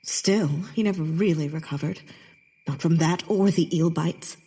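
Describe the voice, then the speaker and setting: A woman's voice: sits low, moderate pace, dark tone, breathy edge. An actress playing a bitter, theatrical Victorian woman poet in a comedic period audio drama, with a haughty, sardonic delivery. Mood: defensive, wry.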